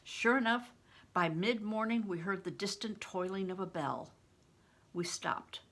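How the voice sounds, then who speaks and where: An older woman reading a storybook aloud as a grandmother would, deliberate and careful, narrating expressively. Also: mid-range voice, fairly bright tone. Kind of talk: expressive read-aloud narration with questions to listeners.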